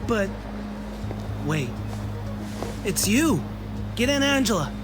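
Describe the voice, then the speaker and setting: A man's voice: high voice, slow, full character voice. A man playing an eager, welcoming young settler in a game cutscene. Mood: excited welcome. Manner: excited, eager. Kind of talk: scripted game cutscene dialogue. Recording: music behind the voice.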